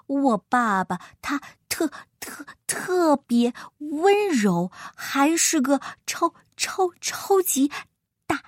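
A small, timid elephant in a children's storybook. The voice sits high and drags slowly, tripping on nearly every word in an earnest stutter. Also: female voice, no room sound, clean studio sound, exaggerated voice. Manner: heavy stutter, drawn-out halting words.

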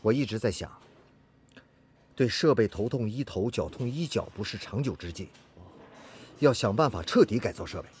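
An actor playing an earnest, dedicated factory engineer in a Chinese radio drama. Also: male voice, fairly low pitch, expressive, normal speed, long pauses, darkish tone, a little hiss or hum, natural voice. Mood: earnest, determined. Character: earnest, dedicated male factory engineer, devoted to his work.

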